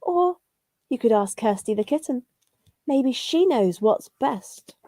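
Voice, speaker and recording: Woman voicing a high, helpful mother sparrow. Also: expressive, slow, dark tone, no room sound. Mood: advising.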